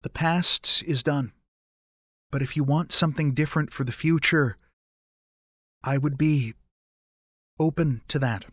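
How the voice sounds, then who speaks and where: A man's voice: a little high, a bit bright, no echo, studio quality. Guarded, wounded adult son as a man reads him. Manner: terse, guarded, halting. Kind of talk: audiobook character dialogue.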